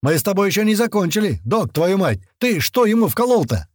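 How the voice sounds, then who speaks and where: A man's voice: on the high side, big pitch swings, moderate pace, no echo, clean studio sound. A man voicing a brutal, short-tempered fighter in an audiobook, barking threats at a captive. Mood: menacing, impatient. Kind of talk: dramatic audiobook character voice.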